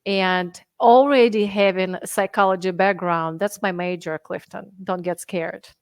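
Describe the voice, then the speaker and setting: A woman's voice: on the low side, steady tone, measured pace, clean tone, no room sound. A woman from Russia in her thirties, speaking English as a second language with a Russian accent, earnestly explaining her coaching steps in a podcast interview. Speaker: health and fitness coach guest. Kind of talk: conversational interview.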